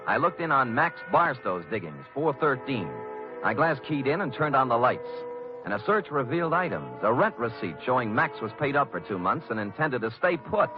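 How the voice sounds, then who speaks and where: A man's voice: medium pitch, natural voice. An American man playing a tough, wisecracking private detective in an old-time radio noir drama, speaking in a wry, clipped manner. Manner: hard-boiled, wry, clipped. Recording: dull vintage radio recording with music.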